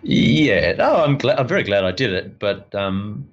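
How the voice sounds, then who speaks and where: A man's voice: on the low side, sing-song, unhurried, slightly rough, highs cut off. A man with an Australian accent, a guest rambling through stories in casual podcast chat and laughing often.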